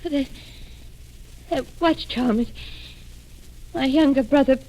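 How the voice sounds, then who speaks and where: Casting: grieving young widow, high voice, slow pleading delivery, old radio melodrama. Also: female voice, mellow, rough recording. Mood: horrified. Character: grief-stricken young widow, fragile and distraught. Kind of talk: 1940s radio acting.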